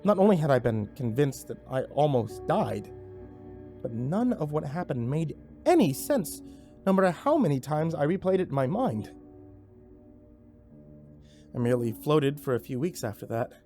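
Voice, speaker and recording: High-pitched man narrating horror dramatically. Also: very animated, moderate pace, pauses often, mellow, soft background music. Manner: intense, theatrical. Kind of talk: dramatic first-person scripted narration.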